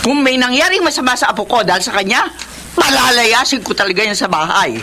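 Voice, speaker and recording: Matinis at matalas-dilang biyenan. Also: female voice, fairly high pitch, expressive, fast, bright, forceful tone, slight background noise. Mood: scornful. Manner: nagging, disparaging, commanding. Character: scolding, sharp-tongued grandmother and mother-in-law. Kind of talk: Filipino radio drama acting.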